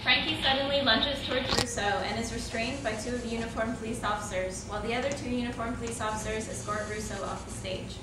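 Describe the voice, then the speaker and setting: A woman's voice: fairly high pitch, steady tone, fast talker, few pauses. A woman reading stage directions as scripted narration for a staged play. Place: large echoey room, live staged reading. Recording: very echoey room, distant mic, clear noise.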